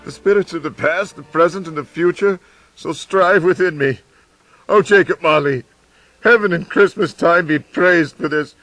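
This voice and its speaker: A man's voice: on the high side, moderate pace, fairly bright tone, faint music behind, rough recording. An actor playing an old miser facing his own repentance in a live radio drama, theatrical and given to stammered repetitions. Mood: giddy, overjoyed.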